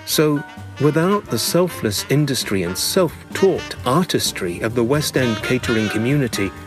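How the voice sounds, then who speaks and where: A man's voice: medium pitch, lively intonation, normal speed. A British man reads a humorous scripted memoir in a wry, mock-grandiose manner, savouring ornate phrases. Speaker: narrator, reader of a memoir. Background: music.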